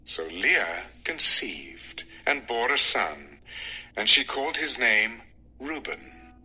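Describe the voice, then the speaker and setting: A man's voice: mid-range voice, sing-song, slow pace, bright, forceful tone, slight background noise, low-quality recording. A man narrating scripture from a script in a dramatic storytelling style.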